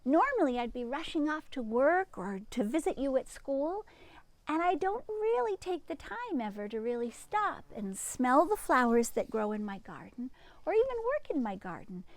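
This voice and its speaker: A woman reading a children's story aloud in an expressive, teacherly way, with chatty asides and questions to young listeners. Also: high voice, moderate pace, no echo. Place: outdoors in a garden.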